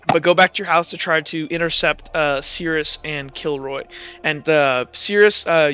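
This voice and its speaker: A man narrating a tabletop roleplay game as game master in a halting, informal way, on a muffled recording. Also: fairly high pitch, bright, forceful tone, clean tone.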